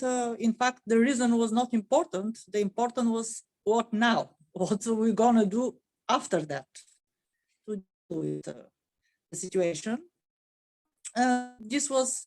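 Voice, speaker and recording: A middle-aged woman from Bulgaria with a Bulgarian accent, speaking as an interview guest, pausing often with "uh" and restarting her sentences. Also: fairly high pitch, darkish tone, dry recording. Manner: halting, many "uh" fillers and restarts. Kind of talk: conversational interview answer.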